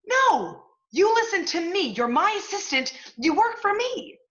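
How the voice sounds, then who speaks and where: A woman's voice: extremely high pitch, very animated, a little slow, a bit bright, lo-fi sound, full character voice. A woman playing a brash, scheming, profane literary agency boss in a stage play. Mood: heated, commanding. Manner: pushy, profane, salesy. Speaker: stage actor. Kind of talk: theatrical one-act stage play acting.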